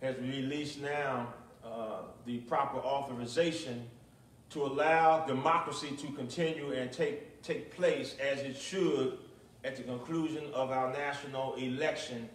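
A middle-aged man preaching and praying as a pastor, speaking in measured, deliberate short phrases into a pulpit microphone in a small church. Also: mid-range voice, steady tone, a little hiss or hum. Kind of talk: sermon with prayer and commentary. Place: small, nearly empty church, at a pulpit indoors. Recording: echoey church, distant microphone.

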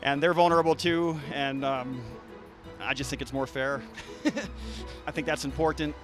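Relaxed man explaining beekeeping outdoors. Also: medium pitch, measured pace, soft background music. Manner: relaxed, frequent restarts and fillers. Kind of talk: casual explanation to an interviewer. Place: outdoors by beehives in a garden. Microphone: handheld interview mic.

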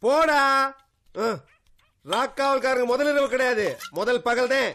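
An actor playing a cruel village bully who threatens and mocks with scorn. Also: male voice, very high-pitched, unhurried, fairly bright tone, slight background noise, exaggerated voice. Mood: scornful. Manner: menacing, scornful. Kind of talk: film drama acting.

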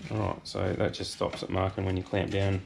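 An Australian man, hoarse from a cold, chatting casually through a workshop how-to while he works on metal. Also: low voice, fairly quick. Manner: relaxed, chatty, thinks aloud with fillers. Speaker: host. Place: home workshop shed. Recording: noisy workshop with tool clatter.

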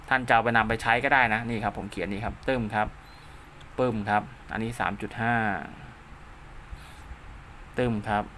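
Male DIY tutorial host: bright mid-pitched voice, informal and repetitive, throws in spoken sound effects, slightly noisy recording. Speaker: host of a DIY radio repair channel. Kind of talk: informal hands-on tutorial, talking while drawing diagrams.

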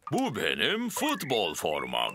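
A man's voice: lowish voice, huge pitch swings, slow, very noisy, heavily acted. A man voicing a jolly, good-natured father pig in a children's cartoon, with a cheerful manner.

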